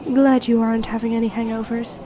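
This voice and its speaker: High-pitched, chatty young female best friend, acted by a woman. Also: flat delivery, brisk, dark tone, a little hiss or hum, lightly acted, poor audio. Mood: friendly, chatty. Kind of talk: intimate ASMR roleplay acting, one side of a conversation.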